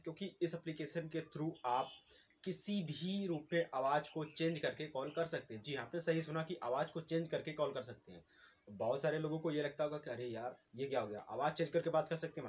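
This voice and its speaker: A man, presenting like a tech channel host in a chatty, instructional way. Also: a little high. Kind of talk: presenter-style app tutorial to viewers. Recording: clearly noisy amateur recording.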